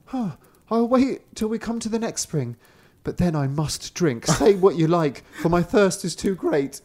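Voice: mid-range voice, expressive, measured pace, mellow, pitched above the performer's own voice. A reader voicing a thirsty young boy, the brother in a fairy tale, in a raised, whiny, melodramatic voice.

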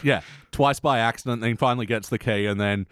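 A man's voice: mid-range voice, quick pace, a bit bright, clean tone, no echo, studio quality. A man hosting a casual comedic review podcast, joking and swearing freely. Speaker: podcast host. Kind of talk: casual comedic chat reviewing a cartoon episode.

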